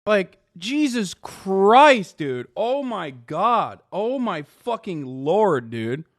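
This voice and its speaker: A man's voice: sits high, very animated, very slow, no room sound, clean studio sound. A man streaming, reacting to clips with casual, exasperated, profane commentary. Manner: exasperated, sarcastic, swears a lot.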